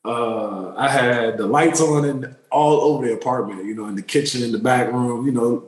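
A man in his thirties, a pro basketball player, telling relaxed, rambling stories in a casual interview. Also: medium pitch, normal speed, reverberant, poor audio. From born in Turkey, raised in the DC area. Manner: relaxed, rambling, many fillers.

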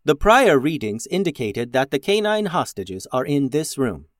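A man voicing an obedient, highly advanced male robot with a formal, precise manner in a children's story. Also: big pitch swings, normal speed, no echo, clean studio sound, close to an everyday voice. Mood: dutiful.

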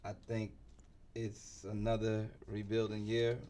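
A middle-aged man speaking as a guest in a sports interview, often pausing mid-thought. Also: fairly low pitch, monotone, warm tone, slight background noise. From New York City, United States. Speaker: interview guest, basketball team insider and former coach.

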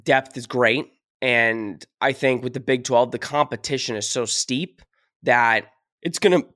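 A man's voice: mid-range voice, fairly bright tone, dry recording, clean studio sound. A man hosting a casual sports podcast, ranting with emphasis, a little sick and losing his voice. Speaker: podcast host.

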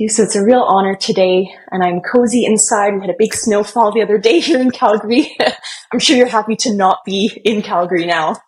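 A woman's voice: mid-range voice, brisk. A woman podcast guest, born in Germany and raised in Canada, who answers in a friendly, chatty way and laughs easily. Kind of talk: conversational interview answers.

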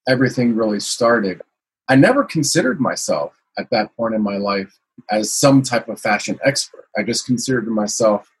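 A man with a very husky, breathy voice sitting lower than most, talking as an interview guest. He is from the United States and tells his story loosely, drifting along with frequent fillers. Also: low-quality recording. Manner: rambling, frequent "you know" fillers.

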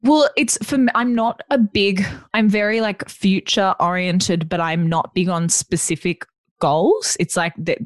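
A woman with a deep voice, chatting as a podcast guest and telling self-deprecating stories. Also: steady tone, unhurried, dry recording, clean studio sound. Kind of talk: casual chat.

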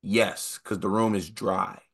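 Man, podcast co-host, sniffles, nasal, very deep, bright and forceful tone. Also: fairly even intonation, takes it slowly, vocal fry, breathy edge. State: stuffy nose, sniffles. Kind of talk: casual sports podcast chat.

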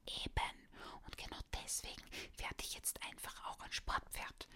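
A woman's voice: moderate pace, sharp tone, lightly acted. A woman whispering the part of a plump, hungry, stubborn male pony. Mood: cocky.

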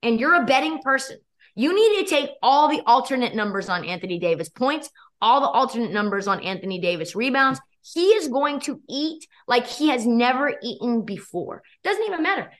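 A young woman guest with a hoarse, worn voice, talking sports and betting in a quick, energetic, opinionated way. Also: on the high side. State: losing her voice. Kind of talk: casual sports chat.

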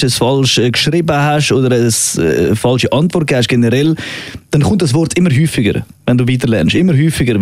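A man's voice: medium pitch, dry recording. A man with a Swiss German accent chatting casually on a podcast, rambling with many fillers and restarts. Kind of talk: casual chat.

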